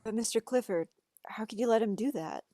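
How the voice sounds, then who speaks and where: A woman's voice: fairly high pitch, a little fast, warm tone, dry recording, studio quality, natural voice. A woman playing an anxious, earnest young man searching for his father at a script table read. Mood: urgent, pleading.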